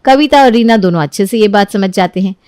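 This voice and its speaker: A woman narrating a children's cartoon story in a clear, even storytelling manner. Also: mid-range voice, quick pace, no echo, studio quality.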